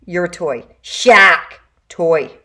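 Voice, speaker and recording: A woman voicing a tough little clothespin shark who growls threats in a lowered voice. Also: animated, extremely slow, pushed and bright, exaggerated voice. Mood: gruff. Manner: gruff, threatening.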